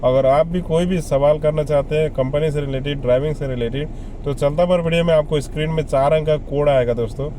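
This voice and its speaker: Mellow man explaining to viewers. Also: fairly high pitch, fairly even intonation, fairly quick, slight background noise. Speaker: vlogger giving job advice. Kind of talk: casual explainer addressed to viewers.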